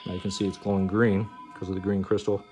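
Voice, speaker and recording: A man hosting a casual, unscripted demo video, speaking in a halting way and repeating himself. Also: sits low, little pitch movement, over loud music. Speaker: host of a hobby gadget demonstration video. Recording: noticeable background noise.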